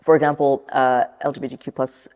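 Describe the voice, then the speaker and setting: A woman's voice: extremely low pitch, level tone, a little fast, a little mellow, big-room sound. A young woman explaining prepared material for a training film in a semi-scripted, hesitant way. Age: young adult. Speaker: youth presenter in a training film.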